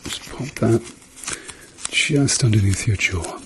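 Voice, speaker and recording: A middle-aged man doing a softly spoken ASMR role-play as a doctor, murmuring reassurance to a patient. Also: on the low side, slow pace, background noise. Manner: reassuring, murmured repeated encouragement.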